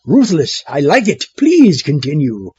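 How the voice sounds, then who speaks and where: A haughty, cackling mad-scientist villain from a comedy audio drama. His voice is husky and swoops grandly, lingering slowly over words with theatrical relish. The sound is close, dry and slightly dull. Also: a little high, wildly swooping pitch, mellow, no room sound, exaggerated voice. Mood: gleeful scheming. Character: pompous, gleeful male mad-scientist villain running for dictator.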